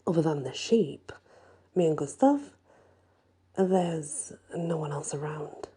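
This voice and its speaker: A woman reads a first-person fantasy story in an ASMR style, her voice soft, husky and breathy. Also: low voice, lively intonation, slow pace, slight background noise. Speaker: audiobook-style story reader.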